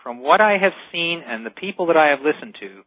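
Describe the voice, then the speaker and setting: A man explaining things as a radio interview guest over the telephone, earnest and deliberate, stressing key words. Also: on the high side, animated, dry recording. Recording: dull, band-limited telephone line.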